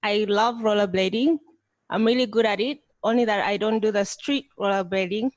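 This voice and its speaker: A woman's voice: medium pitch, moderate pace, dry recording, highs cut off. A young woman, a cyber lawyer and doctoral student, introducing herself lightly and confidently at a conference.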